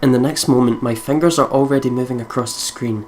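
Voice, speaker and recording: A man's voice: medium pitch, steady tone, fast talker, faint music behind. A man hosting a let's play, reading first-person visual novel narration aloud and laughing between passages. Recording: loud background noise.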